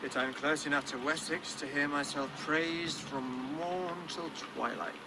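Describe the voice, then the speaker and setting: An actor playing a pious, measured English king in a game cutscene, stately and dry in manner. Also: a little high, steady tone, heavy background noise. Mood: dry, composed. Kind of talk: video game cutscene acting.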